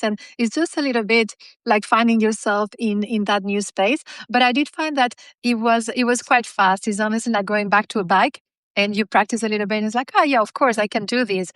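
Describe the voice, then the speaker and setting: A woman's voice: mid-range voice, fairly bright tone, dry recording. A woman whose first language is Spanish, answering an interview question fluently and articulately over a video call. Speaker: guest author and speaker.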